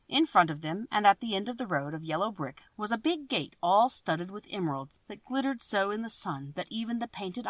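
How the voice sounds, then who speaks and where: A woman reading a children's storybook aloud in an expressive, measured way. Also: medium pitch, dry recording. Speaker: audiobook reader.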